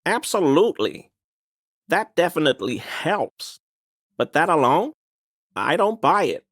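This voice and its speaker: A man's voice: on the high side, big pitch swings, unhurried, a bit bright, dry recording, clean studio sound, exaggerated voice. An actor playing a smug, conspiracy-minded man in a video game, speaking in an insistent, knowing, insinuating way. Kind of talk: video game dialogue voice lines.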